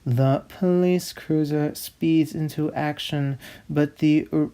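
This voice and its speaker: A man's voice: on the high side, fairly even intonation, takes it slowly, dark tone, clean tone. A man playing a pompous, fussy narrator who reads out a script theatrically. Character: snobby, fussy male narrator, a pompous connoisseur. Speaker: narrator host.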